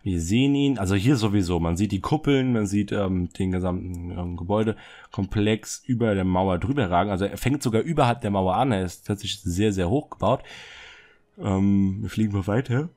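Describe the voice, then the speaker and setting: A young man with a cold, clearing his throat now and then, giving rambling, excited commentary while touring a game build. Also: on the low side, fairly quick. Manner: excited, fidgety, rambling with many fillers. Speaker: Minecraft server builder showing a city. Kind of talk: casual gameplay commentary. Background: soft background music.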